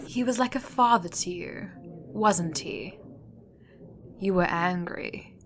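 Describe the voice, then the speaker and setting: A woman voicing an interrogator who asks quiet, measured, probing questions. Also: lowish voice, a little hiss or hum.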